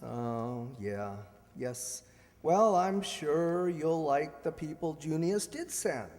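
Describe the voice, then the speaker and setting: A man's voice: a little high, expressive, slow, darkish tone. A man acting a suave, teasing upper-class ex-husband with a wry, courtly manner in a radio play. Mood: breezy, teasing. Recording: echoey hall, stage radio performance.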